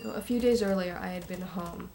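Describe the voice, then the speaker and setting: A woman's voice: fairly low pitch, normal speed, mellow, slight background noise, natural voice. An actress playing an uneasy young woman who gives a hesitant, conversational account in a film drama. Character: uneasy young woman recounting family secrets. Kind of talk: naturalistic film acting, interview testimony.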